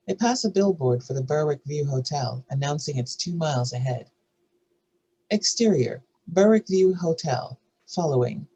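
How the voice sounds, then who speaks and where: Woman reading out scene directions, raspy breathy voice, scripted narration. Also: deep voice, warm tone, low-quality recording.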